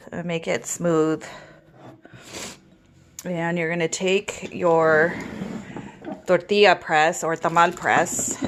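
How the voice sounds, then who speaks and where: A woman with a cold and a raspy voice, giving relaxed step-by-step instructions in a casual cooking tutorial. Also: fairly low pitch, a little hiss or hum. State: hoarse with a cold. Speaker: home cook.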